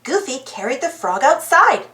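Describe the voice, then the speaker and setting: A woman reading a children's storybook aloud as narrator in an animated style. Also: sits high, normal speed, echoey room.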